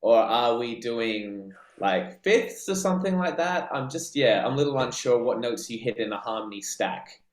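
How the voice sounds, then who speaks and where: A man's voice: medium pitch, animated, moderate pace, hardly any pauses. A man, a student asking a polite, unsure question about harmonies on a video call. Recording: roomy echo on a call.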